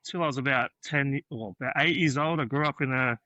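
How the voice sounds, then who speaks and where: Bright-voiced easygoing Australian man. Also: mid-range voice, fairly quick, dry recording. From New South Wales, Australia. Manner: easygoing, polite, lots of ums and uhs. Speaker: podcast guest, graffiti artist. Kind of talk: casual interview chat.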